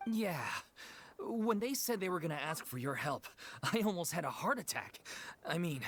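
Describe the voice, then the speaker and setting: Actress as low-voiced, earnest theater troupe member. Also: female voice, lively intonation, moderate pace, slight background noise, natural voice. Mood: flustered, admiring. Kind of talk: English video game dub acting. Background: clear background music.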